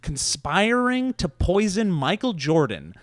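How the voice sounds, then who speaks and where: A man telling stories and pitching ideas casually on a podcast, digressive and loosely conversational, with some swearing. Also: sing-song, slow, no echo, studio quality. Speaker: podcast host. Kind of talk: casual comedic storytelling and pitching.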